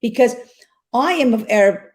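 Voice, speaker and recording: A woman's voice: a little high, animated, normal speed. A woman from North Africa, an actress, speaking earnestly in a casual interview and often repeating words as she finds them.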